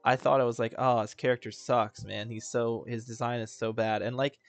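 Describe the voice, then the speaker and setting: A man's voice: mid-range voice, level tone, brisk, no echo. A man doing casual, opinionated reaction commentary as a streamer, talking in a slangy, rambling way.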